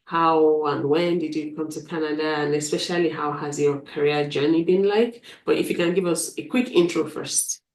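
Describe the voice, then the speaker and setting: A woman's voice: low voice, fairly even intonation, moderate pace, few pauses. A woman hosting a live stream interview, enthusiastic, often repeating words as she frames her questions over a video call. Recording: roomy, distant from mic.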